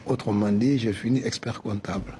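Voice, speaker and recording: Earnest older man with a mellow voice. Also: on the low side, fairly even intonation, normal speed, slight background noise. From West Africa. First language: Soninke. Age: 70s. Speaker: association president, guest. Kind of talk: TV interview answers.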